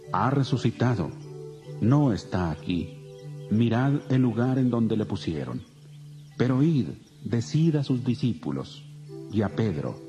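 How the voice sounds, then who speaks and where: An actor playing a calm young heavenly messenger, speaking solemn reassurance in a dramatized audio Bible. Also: male voice, mid-range voice. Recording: long hall reverb with music.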